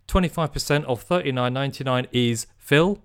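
Brief: male podcast co-host, mid-pitched, chatty and playful. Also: a little fast, clear, resonant tone. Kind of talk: casual podcast chat. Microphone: remote call mic.

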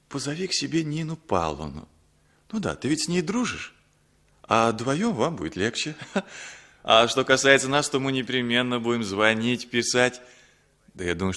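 An actor playing a chatty, affectionate husband and son in a 1960s Soviet radio play. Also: male voice, medium pitch, a bit bright.

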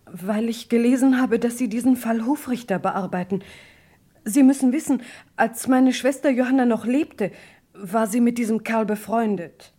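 A woman's voice: a little high, moderate pace, warm tone, close to an everyday voice. A woman playing an earnest, grieving witness speaking to police in a German radio crime play. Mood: grave, sorrowful.

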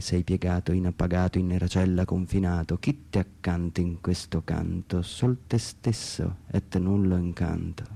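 A man's voice: sits low, vocal fry, warm tone. A man from Umbria, Italy, giving a dramatic literary reading of his own text for radio theatre, brooding and theatrical, sometimes dropping to near whispers.

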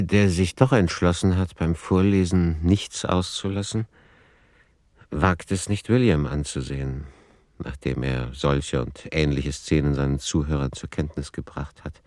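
An actor playing an author who comments on his own manuscript in a sober, deliberate, essayistic way for a radio play. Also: deep voice, mellow, no echo, studio quality. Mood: analytical, dry.

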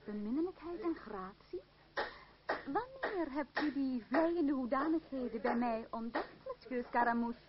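A woman's voice: high voice, very animated, a little slow. A woman acting a proud, wary young actress in an old radio drama, speaking formally and with theatrical reproach. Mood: wary, doubting. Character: young actress Climène, proud, wary, won over by love. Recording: dull, noisy old radio play recording.

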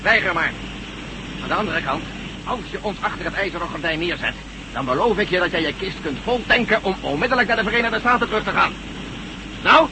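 A man's voice: sits high, expressive, fairly quick. A man playing a determined, clipped-spoken adventurer in a science-fiction radio play. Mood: insistent. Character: determined male ex-astronaut reporter in a sci-fi adventure. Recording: noisy old radio play, vehicle drone.

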